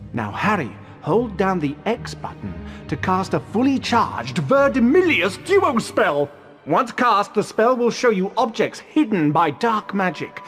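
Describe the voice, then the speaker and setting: A vain wizard professor for a video game. His high voice swoops grandly and effusively, full of self-satisfaction, over a light bed of music. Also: fairly bright tone, heavily acted. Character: pompous, vain, showy male wizard professor. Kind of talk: theatrical video game voice acting.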